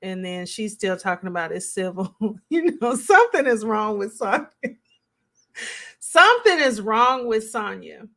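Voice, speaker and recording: A woman's voice: medium pitch, sing-song, measured pace, a bit bright. A woman hosting a live stream, giving casual, conversational reaction commentary as she thinks aloud and responds to chat.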